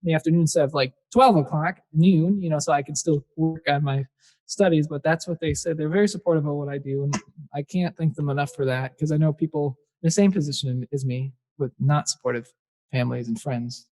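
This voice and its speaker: A man's voice: on the high side, steady tone, brisk, darkish tone, low-quality recording. A young man, a singer-songwriter guest, chatting casually in a podcast interview and rambling with fillers. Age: young adult.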